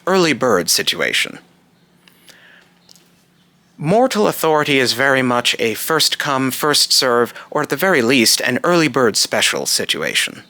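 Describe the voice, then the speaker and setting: A man performs an aloof, contemptuous god passing judgment on mortal civilization. The male voice is forceful and sharp at a middle pitch, with grave, declamatory phrasing. It is a dry, close recording of scripted character lines. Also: no room sound. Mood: disdainful. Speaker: amateur voice actor. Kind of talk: rehearsing scripted monologue lines in retakes.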